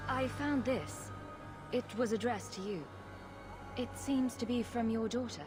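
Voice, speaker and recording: A woman's voice: a little high, unhurried, over music, natural voice. A woman playing a tough, blunt adventurer heroine in a video game cutscene. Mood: blunt, serious.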